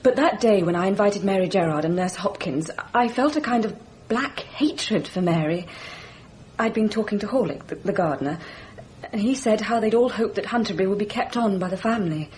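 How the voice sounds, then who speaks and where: An actress playing a bitter, candid young English gentlewoman in a radio drama, frank and brooding in manner. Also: mid-range voice, a little fast, slight background noise, lightly acted, low-quality recording. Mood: brooding, confessional.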